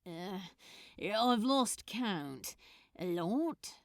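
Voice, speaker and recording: A woman playing a grumpy, exhausted man in a story, mumbling in a hesitant, awkward and flat manner. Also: medium pitch, very slow, no echo, studio quality, exaggerated voice. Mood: awkward, weary.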